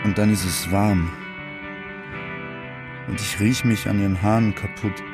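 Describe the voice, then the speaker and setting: A rough young working-class guy in a radio drama, his voice on the low side. He tells a tender memory in short, colloquial bursts, with guitar music clearly behind him. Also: fairly even intonation, unhurried. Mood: wistful, plain. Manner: colloquial, clipped short sentences. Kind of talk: German radio play monologue.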